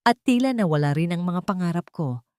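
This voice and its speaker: A woman's voice: on the low side, lively intonation, brisk, a little mellow, clear, resonant tone, no room sound, studio quality, close to an everyday voice. A woman playing a wounded, betrayed mother in an audio drama, grieving and determined to start over. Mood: grieving, resolute. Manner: weak-voiced, pained but determined. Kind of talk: melodramatic audio drama dialogue.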